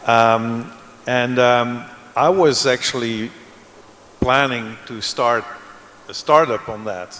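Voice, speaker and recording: A man's voice: mid-range voice, slow pace, a bit bright, slight background noise. A man from Belgium speaking on a conference panel, telling a long, rambling anecdote. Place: large conference hall. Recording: reverberant conference hall.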